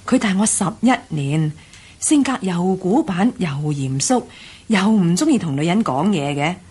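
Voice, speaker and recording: A woman's voice: on the low side, lively intonation, measured pace, darkish tone, slightly rough. An actress playing a middle-aged married woman, weary and grumbling, in a Cantonese radio drama. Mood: complaining.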